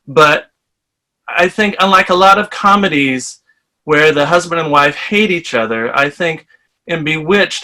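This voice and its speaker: A man's voice: fairly high pitch, pushed and bright, low-quality recording. A man from Iowa, chatty and enthusiastic as he introduces himself as a fan guest. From Iowa, USA. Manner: chatty, enthusiastic, laughs while talking. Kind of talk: casual self-introduction.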